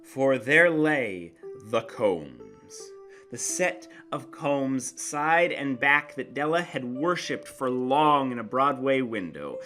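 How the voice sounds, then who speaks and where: A man narrating a literary story as a dramatic reading, with theatrical, deliberate emphasis. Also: mid-range voice, lively intonation, pushed and bright, soft background music.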